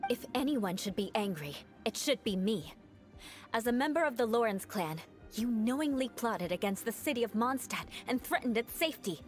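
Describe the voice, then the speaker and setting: A woman's voice: on the high side, expressive, normal speed, soft background music, lightly acted. A young woman voicing a proud, aloof noblewoman knight in a video game, speaking in a cool, composed and dry manner.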